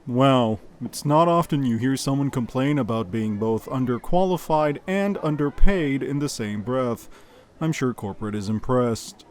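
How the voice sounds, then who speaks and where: A man's voice: medium pitch, unhurried, a little mellow, natural voice. A man playing a smug, sarcastic news host in a comedy audio show, delivering parody bulletins and ad reads with dry showmanship. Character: smug, sardonic male news show host stirring up drama.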